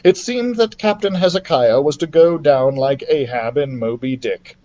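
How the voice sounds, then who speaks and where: A man reading a children's adventure story aloud as a library storyteller, in a theatrical, expressive manner. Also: a little high, moderate pace, muffled recording.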